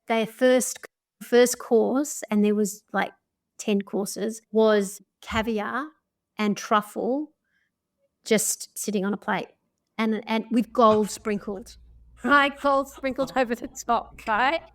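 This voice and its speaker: An Australian woman telling travel stories as a podcast guest, chatty and quick to laugh. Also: mid-range voice. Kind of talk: casual storytelling chat.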